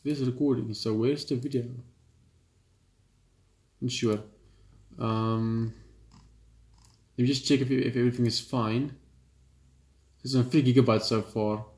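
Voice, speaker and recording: A man's voice: mid-range voice, steady tone, unhurried, long pauses, darkish tone. A man hosting a let's play, reading game text aloud with casual asides and stumbling over the odd word. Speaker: gaming video host. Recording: echoey room, game music behind.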